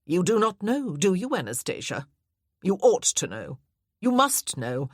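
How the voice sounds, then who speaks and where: A woman's voice: on the low side, big pitch swings, a little slow, husky, no echo, studio quality, heavily acted. A woman voicing a fussy, insistent middle-aged aunt who scolds and badgers. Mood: badgering.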